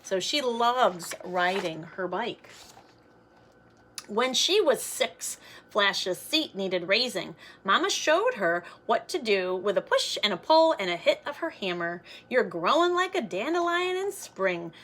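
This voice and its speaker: A woman reading a children's storybook aloud like a teacher, in an expressive, sing-song way for young listeners. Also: mid-range voice, slow pace.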